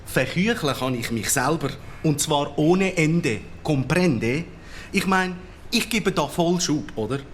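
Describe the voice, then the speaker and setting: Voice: mid-range voice, expressive, takes it slowly, slight background noise. A performer voicing a cocky, boastful city cockroach in a children's radio play, talking swaggering hip-hop slang with a Swiss German accent. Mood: boastful, exasperated. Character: cocky streetwise city cockroach, slangy braggart, easily riled.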